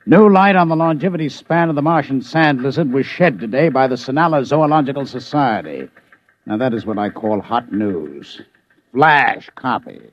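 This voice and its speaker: An actor playing a jaded, sardonic American news bureau chief in a 1950s radio drama. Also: male voice, mid-range voice, normal speed, natural voice. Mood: sour, sardonic. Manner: dry, sardonic. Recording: dull, dry old radio recording.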